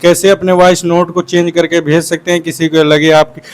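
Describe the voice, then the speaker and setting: A man with a fairly high voice talks as the host of a tech tutorial. He explains each step casually and in an instructional way, often repeating phrases. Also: flat delivery, normal speed.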